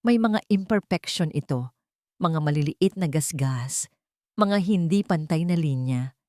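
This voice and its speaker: A woman narrates a dramatic romance story in the first person. Her voice sits low, and the recording is very dry, with no room sound. The delivery is scripted storytelling. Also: lively intonation, unhurried, warm tone, no room sound.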